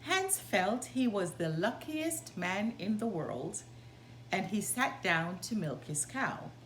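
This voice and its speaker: Slow, very animated woman storyteller. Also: a little high. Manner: expressive, deliberate. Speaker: storyteller reading aloud. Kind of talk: expressive children's story narration. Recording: roomy sound, background noise.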